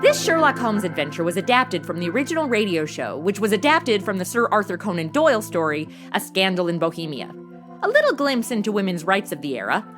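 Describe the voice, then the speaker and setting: A woman's voice: medium pitch, very animated, a little fast, music in the background. A woman with an American accent hosting a podcast, reading a scripted outro in a chatty, direct way. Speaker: podcast host.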